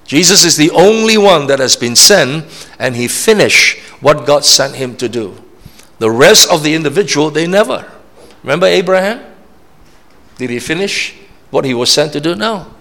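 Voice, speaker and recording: A man's voice: on the high side, lively intonation, moderate pace, creaky, fairly bright tone. A man from Malaysia, preaching a sermon in an emphatic, repetitive way and often checking in with the congregation. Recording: long reverb of a large hall.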